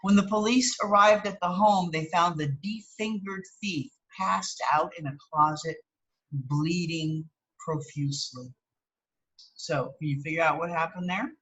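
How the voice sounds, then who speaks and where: A woman's voice: low-pitched, fairly bright tone, lo-fi sound. A woman reading an article aloud as a teacher, breaking off for plain explanatory asides and checking that listeners follow. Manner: instructive, checks understanding with tags like okay and right.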